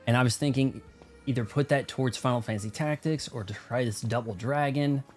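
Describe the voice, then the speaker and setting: A man's voice: medium pitch, a little fast, soft background music. An American man hosting a video game livestream, talking casually in a rambling, conversational way. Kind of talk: casual gaming stream chat and commentary.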